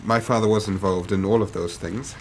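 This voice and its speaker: Actor as low-voiced earnest young English gentleman. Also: steady tone, a little hiss or hum, close to an everyday voice, poor audio. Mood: earnest, measured. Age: young adult. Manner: measured, formal, precise. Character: earnest, troubled young English gentleman client telling his history. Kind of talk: radio drama storytelling.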